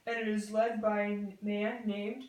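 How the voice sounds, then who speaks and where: A woman's voice: mid-range voice, little pitch movement, a little slow. A young woman, a student presenter, narrating history from a script as part of a two-person skit. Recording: roomy, echoey room sound.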